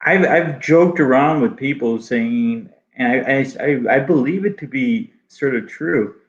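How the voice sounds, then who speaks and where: Male guest, native Arabic speaker, gravelly, hesitant delivery, dull lo-fi sound. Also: mid-range voice. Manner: halting, many restarts and fillers. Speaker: guest artist. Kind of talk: casual interview conversation.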